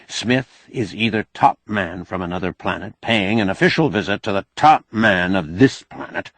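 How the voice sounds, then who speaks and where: A man's voice: low-pitched, no echo, heavily acted. A man voicing a blunt, folksy old man who bargains shrewdly and sardonically in an audiobook novel. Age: older. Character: crusty, shrewd old man, bossy, wry negotiator.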